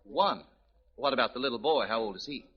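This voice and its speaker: A man playing an officious, gruff circus attendant in 1940s radio comedy. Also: mid-range voice, expressive, fairly quick. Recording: muffled vintage radio recording, studio audience.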